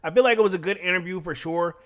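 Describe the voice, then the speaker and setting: Higher-pitched man, casual streamer talk. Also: fast talker, a bit bright. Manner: conversational, slangy, frequent "you know" asides. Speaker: podcast host and streamer. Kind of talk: casual livestream recap and sign-off.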